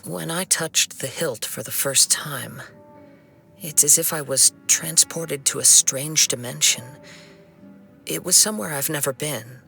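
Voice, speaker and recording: Young male swordsman for a game: bright, slightly gravelly, solemn, measured, compassionate. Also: on the high side, faint music behind, heavily acted. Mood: reflective narrating. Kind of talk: video game voice acting.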